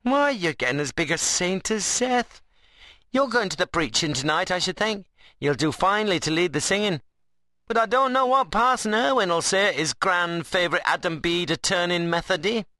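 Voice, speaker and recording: Man acting a high-pitched, cheeky young rustic workman. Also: lively intonation, normal speed, pushed and bright, no echo. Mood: mocking. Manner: mocking, needling rustic dialect. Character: wiry young workman, cheeky sarcastic joker.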